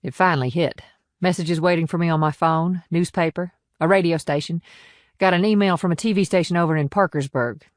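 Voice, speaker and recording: Small-town policewoman for an audiobook male reader acting her: raised pitch, wry, plain-spoken, clipped and folksy. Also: brisk, no room sound, studio quality, natural voice. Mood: matter-of-fact. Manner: clipped sentences, folksy and matter-of-fact. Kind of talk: audiobook character dialogue.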